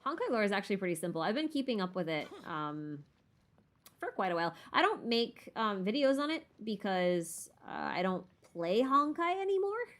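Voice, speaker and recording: A woman's voice: mid-range voice, big pitch swings, moderate pace, a bit bright. A woman hosting a casual live Q&A stream while gaming, chatting in a playful, self-deprecating, rambling way with muttered asides.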